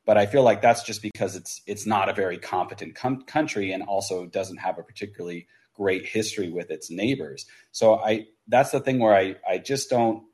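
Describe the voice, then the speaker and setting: A man calling in to a talk show, laying out his view in a measured way and hedging with "sort of". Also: on the low side, a little mellow. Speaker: caller.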